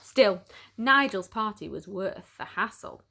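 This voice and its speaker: Animated woman reading a children's storybook. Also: medium pitch, measured pace, vocal fry. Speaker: storyteller, picture book reader. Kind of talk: expressive children's storybook narration.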